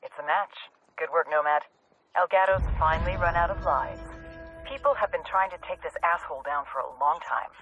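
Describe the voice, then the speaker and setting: Brisk radio intel contact in a game, sharp highish voice, dull noisy signal. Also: male voice, soft background music. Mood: pleased. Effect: radio transmission. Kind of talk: military game cutscene acting. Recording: dull radio link with background noise.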